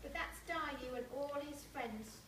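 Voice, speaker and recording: A young woman with a high voice reads a children's story at a slow, deliberate pace, pausing for asides to her small listeners. She is heard from far off in a school hall. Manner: simple, deliberate phrasing, checking in with young listeners. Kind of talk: animated picture-book read-aloud with asides to children. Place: large indoor primary school hall. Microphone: camera several metres away. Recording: echoey school hall, distant camera, noisy room.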